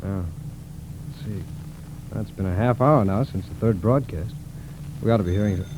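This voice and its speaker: An American actor playing a businesslike, authoritative federal agent in 1940s radio drama style. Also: male voice, lowish voice, normal speed, lightly acted. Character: brisk, businesslike male FBI agent in charge. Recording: noisy old 1940s radio recording.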